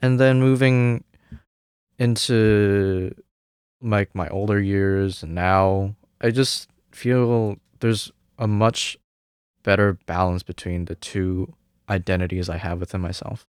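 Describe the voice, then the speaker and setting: Young man from Hong Kong, lowish smooth voice. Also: fairly even intonation, slow pace, dry recording, clean studio sound. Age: young adult. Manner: hesitant, many fillers and restarts. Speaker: guest, music student. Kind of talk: casual interview answers.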